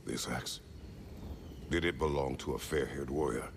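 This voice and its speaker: An actor playing a stern, brooding older warrior father in a video game, speaking in terse, grave phrases with a very deep, gravelly voice. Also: steady tone, normal speed, background noise. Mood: stern, guarded. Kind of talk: video game drama acting. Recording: music behind the voice.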